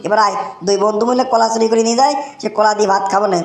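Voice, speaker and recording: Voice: medium pitch, fairly even intonation, rapid-fire, lightly acted. A performer voicing a whiny, greedy young village man in a comic cartoon, exclaiming and repeating in a dialect. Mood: complaining. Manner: exclamatory, whining, repetitive. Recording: roomy echo, faint background noise.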